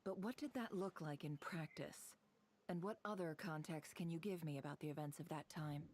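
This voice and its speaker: A woman's voice: low voice, normal speed, a little mellow, slight background noise, natural voice. An actress playing a shrewd, self-assured woman intelligence broker in a video game, with a cool, dry, probing manner.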